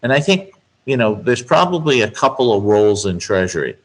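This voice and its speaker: A man giving measured, explanatory answers as a guest expert in a business interview, laying out points step by step with emphasis. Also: fairly low pitch, sing-song. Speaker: guest speaker, finance expert.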